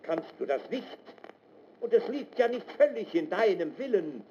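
An actor playing a paternal army lieutenant colonel in a radio drama, lecturing on duty in a lofty, deliberate way. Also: male voice, high-pitched, big pitch swings, mellow. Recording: muffled narrow band, faint noise.

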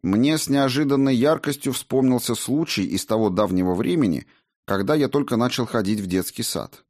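A man's voice: medium pitch, expressive, moderate pace, a little mellow. A man reading a first-person book aloud as scripted narration. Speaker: audiobook narrator.

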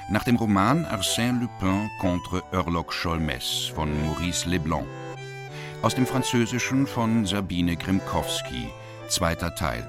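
A man reading the title and cast of a radio drama as a formal, measured announcer. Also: low-pitched. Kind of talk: scripted credits announcement.

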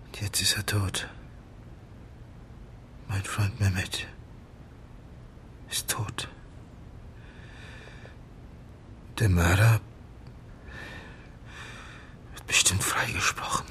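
An actor playing a grieving doctor in a radio drama, confessing in a halting, subdued voice that often sinks toward a whisper. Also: male voice, deep voice, fairly even intonation, pushed and bright, heavily acted. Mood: grieving, broken. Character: grieving male doctor confessing to his friend's staged death. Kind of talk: German radio drama acting.

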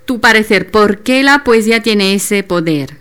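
A woman's voice: medium pitch, unhurried, a bit bright, clear, resonant tone. A woman radio host, asking her guest friendly, encouraging questions in Spanish. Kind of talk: radio interview.

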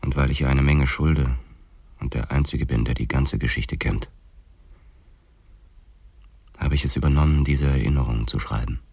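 An actor playing a weary police detective, narrating a murder case in sombre, deliberate confession for a radio drama. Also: male voice, rumbling low, fairly even intonation, mellow, no room sound, heavily acted. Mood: sombre, brooding. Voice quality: gravelly, husky. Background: faint music.

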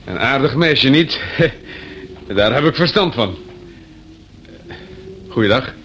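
An actor playing a fussy, awkward district police inspector in a radio play, officious yet apologetic, stammering and repeating himself. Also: male voice, mid-range voice, animated, slow, a bit bright. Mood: awkwardly polite. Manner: hesitant, stammering, apologetic, repetitive. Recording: dull, faintly noisy old radio recording.

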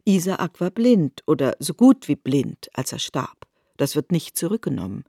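A woman's voice: sits low, sing-song, normal speed, mellow, slightly rough, dry recording, clean studio sound. A woman narrating a literary radio drama in a formal, explanatory manner.

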